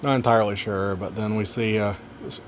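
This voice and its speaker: A man with a relaxing voice casually narrating wildlife camera footage, gentle and folksy with joking asides. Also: on the low side, steady tone, brisk, slight background noise. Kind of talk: casual narration over video.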